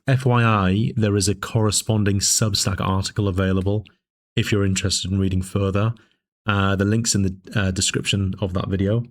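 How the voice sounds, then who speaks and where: A man hosting his own political commentary channel, talking through his points in a measured, discursive way with frequent fillers and restarts, his voice a little dry. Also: sits low. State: dry voice, sipping tea. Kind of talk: casual spoken commentary.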